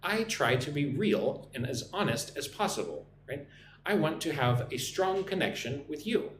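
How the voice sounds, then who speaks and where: A man's voice: fairly high pitch, animated, moderate pace. A man with an American accent hosting a casual English-teaching livestream, reading out comments and greeting viewers in an informal, chatty way. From United States. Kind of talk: casual live chat, reading out and answering comments. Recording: roomy echo, faint background noise.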